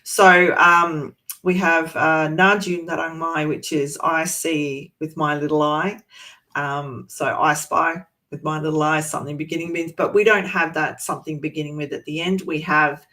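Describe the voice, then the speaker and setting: A teacher walks educators through a teaching resource. The delivery is informal and easygoing, full of little pauses, ums and restarts. The voice sits at a middle pitch. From Australia, east coast. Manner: relaxed and chatty, many ums and restarts.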